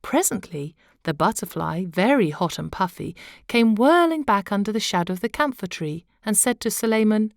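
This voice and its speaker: A woman storyteller reading a children's tale aloud in an expressive narrating style. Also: medium pitch, moderate pace, vocal fry, hardly any pauses, dry recording, clean studio sound. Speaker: storyteller, audiobook reader.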